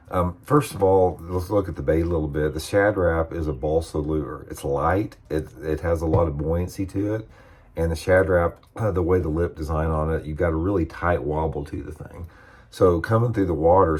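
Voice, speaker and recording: An older man with a hoarse, scratchy voice, giving relaxed, folksy fishing tips to his viewers. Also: very deep voice. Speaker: fishing instructor and channel host. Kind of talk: casual how-to video talk.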